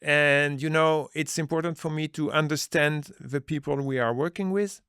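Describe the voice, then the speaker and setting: Earnest man with a gravelly voice. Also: on the high side, fairly even intonation, moderate pace, a bit bright, no echo, studio quality. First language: Dutch. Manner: earnest, searching for words, many restarts. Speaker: business manager, interview guest. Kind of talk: conversational interview answers.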